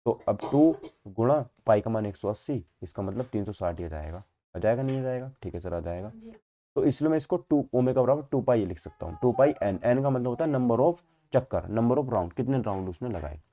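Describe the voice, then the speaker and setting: Mellow-voiced North Indian man teaching. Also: medium pitch, animated, brisk. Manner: repetitive, asks and answers his own questions. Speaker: physics teacher. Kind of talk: explanatory exam-prep lecture.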